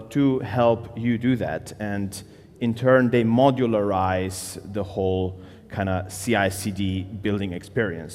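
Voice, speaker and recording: A man's voice: fairly low pitch, darkish tone, long reverb tail. A man from Slovenia giving a casual conference talk on stage, with loose phrasing, fillers and restarts. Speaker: conference speaker.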